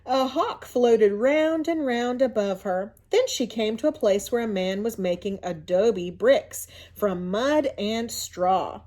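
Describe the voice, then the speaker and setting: A woman reading a storybook aloud to children in an expressive, teacherly way, pausing to explain and ask questions. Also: on the high side. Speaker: school media coordinator reading to children.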